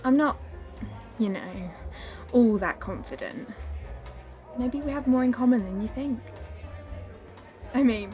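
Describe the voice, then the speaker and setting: High-voiced flirty yet insecure woman. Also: expressive, slow pace, pauses often, warm tone, soft background music. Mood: flirty, teasing. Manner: teasing, confessional. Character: flirty, teasing woman, wounded and insecure underneath. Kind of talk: radio drama acting.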